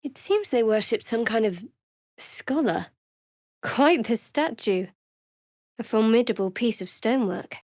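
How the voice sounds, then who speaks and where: Measured, sardonic young cleric, dry sound. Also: female voice, on the high side, expressive, darkish tone, dry recording. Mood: reflective. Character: guarded, sardonic, devout young woman cleric of a dark goddess. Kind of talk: scripted fantasy video game acting.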